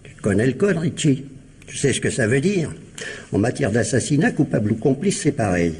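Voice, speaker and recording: An actor playing a sardonic, bullying police interrogator, mocking and pressing in slangy French, in a radio crime drama. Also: male voice, mid-range voice, sing-song, fairly quick, darkish tone, breathy edge.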